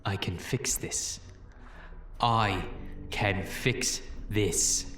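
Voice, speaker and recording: Casting: male actor as earnest, guilt-ridden sci-fi doctor, low and deliberate, roomy echo, for audio drama. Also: fairly bright tone, a little hiss or hum. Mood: desperate, resolute. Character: defiant, guilt-ridden time-travelling doctor, determined to make amends. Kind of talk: dramatic scripted fan audio acting.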